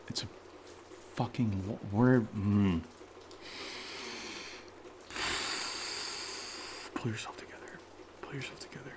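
Guy acting as nervous man muttering to himself, slow stammery sweary rambling, horror podcast drama, noisy background. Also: fairly low pitch, close to an everyday voice. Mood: uneasy, confused. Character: jittery man nervously talking to himself in an attic. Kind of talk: naturalistic solo horror audio drama acting.

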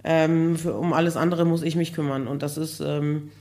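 A hoarse woman talking casually as a podcast guest, chatty and laughing often, with many fillers. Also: deep voice, fairly even intonation, moderate pace, warm tone. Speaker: guest, building project manager.

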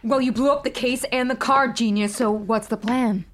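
A woman playing a sharp-tongued, scheming fugitive in an audio drama, sarcastic and clipped. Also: a little high, steady tone, moderate pace, faint music behind, natural voice. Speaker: actress in audio fiction. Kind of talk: serialized audio drama acting.

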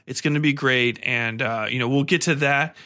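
Bright-voiced man, slangy rambling podcast host. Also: mid-range voice, fairly even intonation, moderate pace. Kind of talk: casual solo film review and rant.

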